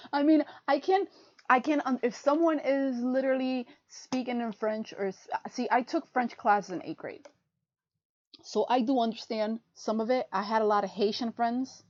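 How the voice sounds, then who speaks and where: A woman's voice: on the high side, moderate pace, darkish tone, dry recording. Chatty woman on a muffled stream mic. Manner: chatty, rambling, laughs often, says "freaking". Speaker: podcast co-host. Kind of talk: casual livestream chat. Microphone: desk mic on a livestream.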